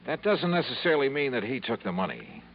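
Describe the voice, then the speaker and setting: An actor playing a wry, casual insurance investigator, probing and narrating in a 1950s radio drama. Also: male voice, medium pitch, very animated, fast, lightly acted. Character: wry, brisk male insurance investigator hero. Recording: muffled, noisy 1950s radio recording.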